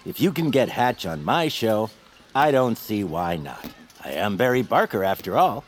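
An actor playing a self-important radio show host in family audio fiction, pompous, smooth and coolly dismissive. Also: male voice, fairly low pitch, sing-song, normal speed, a little hiss or hum. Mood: smug, dismissive.

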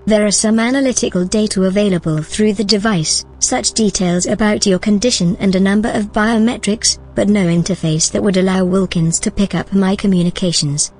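A woman playing a shrewd, self-assured artificial intelligence in a sci-fi audio drama, with a husky voice and a cool, dry, matter-of-fact delivery. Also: mid-range voice, fairly quick, hardly any pauses, a little mellow, lightly acted. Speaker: supporting actor in an audio drama.

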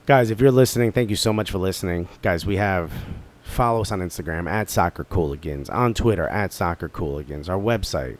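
A man with a North Jersey accent co-hosting a podcast, joking and chatting casually. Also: deep voice. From New Jersey area. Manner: fast-talking, joking, plugs the show. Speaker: podcast co-host. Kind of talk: casual chat.

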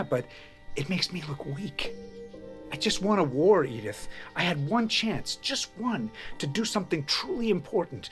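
An actor playing a proud, ailing president who sounds weary and wounded, in intimate audio drama dialogue over music. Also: male voice, high-pitched.

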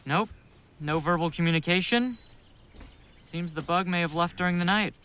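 A man's voice: high-pitched, normal speed, sharp tone, slight background noise. An actor playing a paranoid, sardonic man unravelling as he records a log of his psychedelic self-experiment in an audio drama. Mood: clinical, resigned. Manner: agitated, muttering, sardonic. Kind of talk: audio drama monologue, spoken case-study log.

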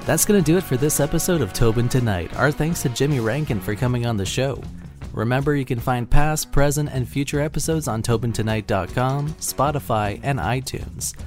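A man's voice: mid-range voice, a little fast. A man reading a scripted podcast outro as the show's announcer. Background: guitar music.

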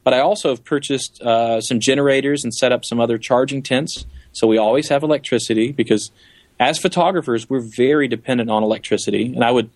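A man's voice: medium pitch, fast, darkish tone, no room sound. A man giving enthusiastic, explanatory answers as a guest safari guide in a conversational interview.

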